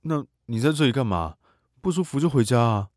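A man's voice: mid-range voice, lively intonation, brisk, darkish tone, no echo, studio quality, lightly acted. An actor playing a young male voyeur tutor. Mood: wary. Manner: introspective narration, judgmental. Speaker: narrator of observation diary. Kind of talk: radio drama acting.

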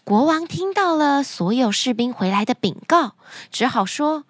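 Lady telling kids stories, high voice, super expressive, really dry close sound. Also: moderate pace, no room sound. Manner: expressive, addresses young listeners directly. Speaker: children's story podcast host. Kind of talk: animated storytelling narration for children.

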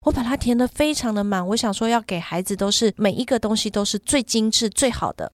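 A woman's voice: on the high side, fast, no room sound, clean studio sound. A middle-aged woman in casual podcast conversation, explaining things at length with examples. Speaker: podcast guest and parent who runs children's summer camps.

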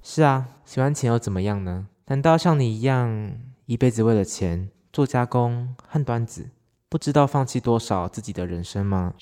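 A man's voice: medium pitch, expressive, moderate pace, warm tone, clear, resonant tone. A man reading a personal essay as scripted literary narration.

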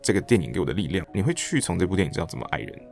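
低沉嗓音、台灣口音的男人. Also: male voice, deep voice, sing-song, racing, gravelly, a little mellow, faint music behind. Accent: Taiwanese Mandarin. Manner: earnest, reflective, explanatory. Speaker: guest, stage director and actor. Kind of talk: casual interview chat, giving advice.

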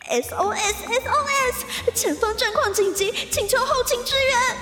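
An actress playing a flustered, eager young office employee with a crush in a romantic comedy radio drama, hesitant and full of little interjections. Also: female voice, extremely high pitch, unhurried, bright, forceful tone, full character voice. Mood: panicked. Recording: roomy reverb, music behind.

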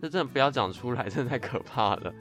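A young man of high-school age with a Taiwanese Mandarin accent, chatting and joking as a podcast co-host, repeating words for emphasis. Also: expressive, fast talker, fairly bright tone, faint music behind. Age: teens to young adult, high school senior. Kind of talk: casual chat and storytelling.

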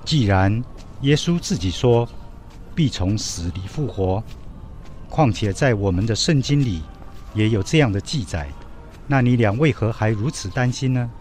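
An actor playing a calm, wise young stranger in a radio drama, speaking in a measured, questioning, instructive way. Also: male voice, mid-range voice, faint music behind, close to an everyday voice.

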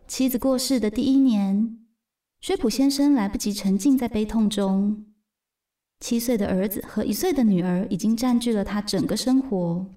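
A woman's voice: mid-range voice, moderate pace, dark tone, recorded from a distance, lightly acted. A woman narrating a radio drama as a wry storyteller, in a scripted storybook style. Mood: wry, composed.

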